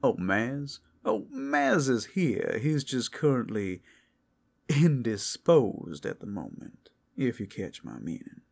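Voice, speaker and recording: A man's voice: mid-range voice, huge pitch swings, slow, vocal fry, full character voice. A man voicing a suave, predatory male werewolf in an ASMR roleplay, speaking in a flirtatious, teasing drawl. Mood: sly, welcoming.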